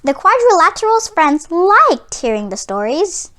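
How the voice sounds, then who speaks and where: A woman reading a children's book aloud in an expressive storytelling style. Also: very high voice, measured pace.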